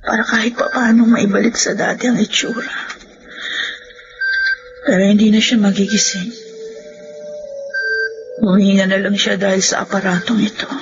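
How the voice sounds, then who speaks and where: Isang babaeng gumaganap sa dramang panradyo bilang balisa at mapagmahal na asawa't ina, nagsusumamo at sinisisi ang sarili. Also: female voice, medium pitch, level tone, moderate pace, pauses often, close to an everyday voice. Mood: dazed, helpless. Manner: pleading, tearful. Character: distraught, devoted, self-blaming wife and mother. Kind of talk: Filipino radio drama acting. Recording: dull lo-fi radio drama sound. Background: prominent dramatic music.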